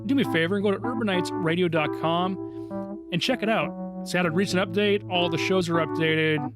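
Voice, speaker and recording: A middle-aged American man with a high-pitched voice speaks as a radio DJ. He links songs in an informal, chatty way, with music playing behind him. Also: a little fast. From Seattle area, United States. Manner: informal, conversational asides. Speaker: radio show host and DJ. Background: music, guitar.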